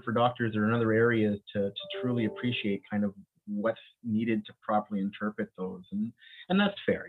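Low-voiced man, expert guest on a phone line. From Bahamas. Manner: halting, many restarts and repeated words; laughs often while talking. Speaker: infectious disease physician and microbiologist. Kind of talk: interview guest, conversational.

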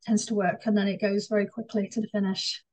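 A woman's voice: medium pitch, little pitch movement, quick pace, darkish tone. A woman co-hosting a podcast, talking enthusiastically and rambling about her writing plans. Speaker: co-host and author. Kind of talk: casual interview chat.

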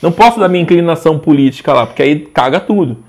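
A man in his forties, a guest in a casual interview, talking in a rambling, animated way with frequent restarts. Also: a little high, fast talker. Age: 40s. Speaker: interview guest, social media page owner.

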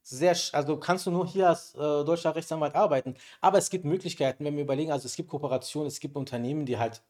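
A man's voice: fairly high pitch, a little mellow. A man who grew up in Berlin, a law graduate as podcast guest, explaining in casual chat with many fillers. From Berlin, Germany. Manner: quick, hesitant with many fillers. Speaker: guest lawyer.

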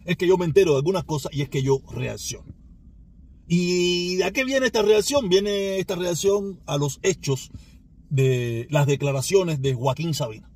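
A man's voice: sits high, normal speed, darkish tone, husky. A man from Cuba with a Cuban Spanish accent, giving a rambling, emphatic opinion monologue that circles back and repeats itself. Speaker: commentator.

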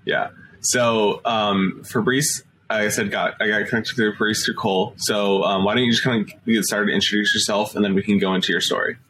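Young man hosting, low voice. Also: steady tone, brisk, pushed and bright, a little hiss or hum, poor audio. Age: 20s. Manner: friendly, filler-heavy, enthusiastic. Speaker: podcast host. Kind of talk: podcast hosting and interviewing.

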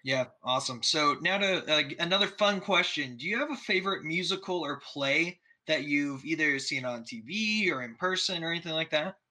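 A podcast host from Texas speaks with a very bright, sharp voice. His talk is easygoing and upbeat, full of casual fillers as he asks questions. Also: fairly high pitch, animated, moderate pace, hardly any pauses.

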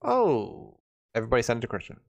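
A man's voice: medium pitch, huge pitch swings, fast talker, creaky, lo-fi sound. A man in his twenties hosting a casual livestream with informal, teasing banter. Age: 20s. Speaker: show host.

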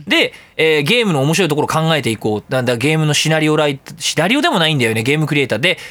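A man's voice: on the high side, sing-song, racing, a bit bright, dry recording. A man hosting a casual radio chat, rambling with geeky enthusiasm and throwing in vocal sound effects. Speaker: radio talk show host.

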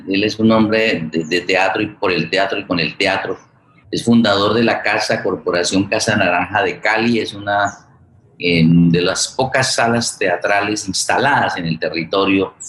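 Low-pitched Colombian man, halting, roomy echo. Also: a bit bright. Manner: halting, searching for words, repeats phrases. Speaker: host introducing a guest. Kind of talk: informal spoken introduction on a live forum.

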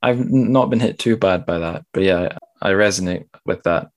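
A man's voice: deep voice, normal speed. A man hosting a podcast in a casual, chatty way, with self-deprecating, rambling asides. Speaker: podcast host. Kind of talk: casual spoken podcast intro and chat.

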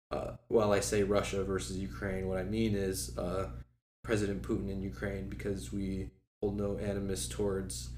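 Male, early twenties, chatty guest. Low-ish, level delivery, roomy sound with slight noise. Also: darkish tone. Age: early 20s. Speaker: guest, former student club officer. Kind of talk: casual conversation. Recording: echoey room with faint background noise.

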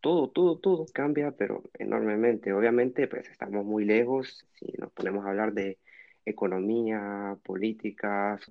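A man of about twenty speaks with a Honduran Spanish accent as a guest in a casual interview. His pitch is fairly low, and the recording is a little dull and lo-fi. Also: normal speed, creaky. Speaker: podcast guest, university student in France.

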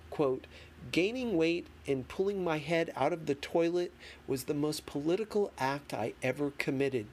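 A man reading a memoir as an audiobook, in a deliberate, emphatic manner with dramatic pauses. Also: fairly high pitch. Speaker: audiobook reader. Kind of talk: expressive scripted narration of a memoir. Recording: clearly noisy background.

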